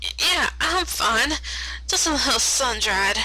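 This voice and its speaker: A woman's voice: sits high, lively intonation, measured pace, pushed and bright, no echo, voice pushed up, exaggerated voice, low-quality recording. A woman voicing a flustered, folksy female farm pony, with stammering country phrasing, in a story reading.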